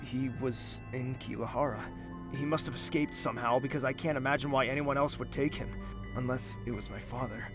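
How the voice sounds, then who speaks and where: A man's voice: medium pitch, fairly even intonation, a little fast, over music, natural voice. A man playing a reserved young prince in an audio drama, speaking formally and guardedly with dry wit. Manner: formal, guarded, gently teasing. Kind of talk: audio drama dialogue and narration.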